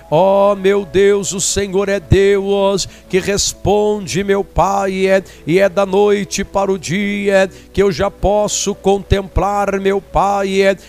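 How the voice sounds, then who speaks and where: Slow, high-voiced Brazilian preacher praying. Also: male voice, a little mellow. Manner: pleading, repetitive, punctuated with short interjections. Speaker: evangelical preacher leading a prayer. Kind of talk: fervent intercessory prayer.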